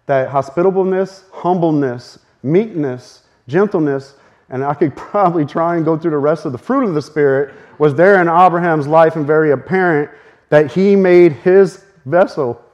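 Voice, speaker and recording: A man's voice: fairly high pitch, lo-fi sound. A man preaching a sermon earnestly, his voice a little strained, speeding up in bursts. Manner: earnest, with fast bursts and soft laughs. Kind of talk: sermon teaching.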